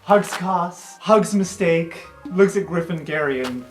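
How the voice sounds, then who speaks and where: A woman's voice: fairly low pitch, takes it slowly. A woman improvising story narration as a player in a tabletop roleplay game. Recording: roomy sound, music underneath.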